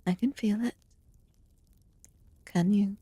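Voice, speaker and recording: A woman voicing a calm, mysterious immortal witch in a soft-spoken ASMR fantasy roleplay, with formal, archaic phrasing and a breathy voice that often slips into whispers. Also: mid-range voice, slow, dry recording. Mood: calm, soothing. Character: calm, mysterious immortal witch tending a wounded human guest.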